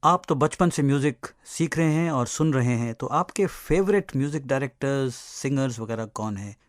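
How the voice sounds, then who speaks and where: A man's voice: mid-range voice, lively intonation, normal speed, no room sound. Male radio interviewer, close dry sound. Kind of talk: polite conversational interviewing.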